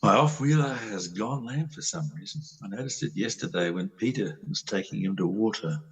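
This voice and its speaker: A man playing a restless, wistful middle-aged country doctor in an amateur play reading, husky and theatrical. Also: fairly low pitch, normal speed. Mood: polite farewell. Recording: slightly distant microphone.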